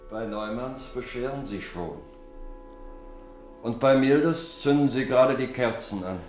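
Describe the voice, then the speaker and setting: An actor playing a poor, gruff but tender-hearted father in a 1950s radio play. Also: mid-range voice, unhurried, a little mellow, lightly acted. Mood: reassuring. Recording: roomy old radio recording with faint music.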